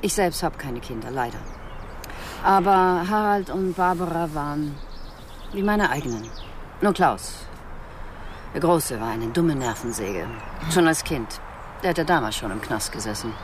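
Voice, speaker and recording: A prim older woman in a naturalistic radio crime drama. Her pitch moves in lively swings as she speaks with bitter disapproval. Faint ambient noise sits behind her voice. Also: unhurried. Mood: wistful, candid. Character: older childless aunt, bitter and disapproving about her family.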